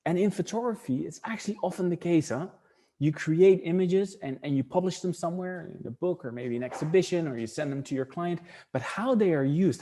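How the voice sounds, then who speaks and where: A man's voice: on the high side, dark tone. Dutch-accented man giving a webinar lecture. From Netherlands. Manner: thinking aloud with many restarts and repeated words. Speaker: webinar presenter.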